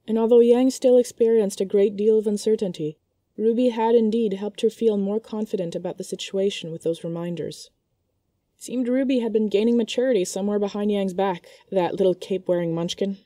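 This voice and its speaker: Female story narrator, mellow tone, close dry recording. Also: medium pitch, no echo. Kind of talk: scripted narration of a fan fiction story.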